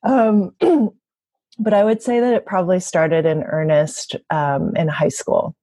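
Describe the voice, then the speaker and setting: A woman's voice: fairly low pitch, measured pace, dark tone, no room sound. A woman giving thoughtful, reflective answers in a conversational interview, as an activist and organizer. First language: bilingual, also speaks Mandarin.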